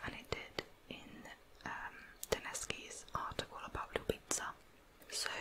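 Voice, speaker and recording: A woman whispering a true crime account in an ASMR style, in a soft, measured, explanatory manner. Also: rough recording. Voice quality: whispered, unvoiced.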